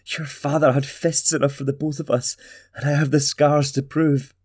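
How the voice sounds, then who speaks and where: A man voicing a gentle middle-aged mother who pleads softly and weeps over her cruel son. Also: fairly high pitch, flat delivery, moderate pace, husky, pitched above the performer's own voice. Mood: pleading, tearful. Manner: soft pleading, tearful.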